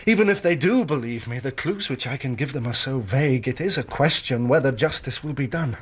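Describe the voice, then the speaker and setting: An actor with an English accent playing an injured, overwrought but polite engineer in a period radio drama, formal and excitable. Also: male voice, mid-range voice, brisk, slightly rough. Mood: shaken, earnest.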